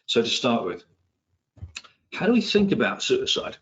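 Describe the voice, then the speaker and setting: A middle-aged man from England, his accent softened by years in New Zealand, giving an online talk as a psychiatrist, with many ums, restarts and dry asides. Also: medium pitch, big pitch swings, normal speed, highs cut off. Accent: English, with some New Zealand influence. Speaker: psychiatrist and presenter. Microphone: video call.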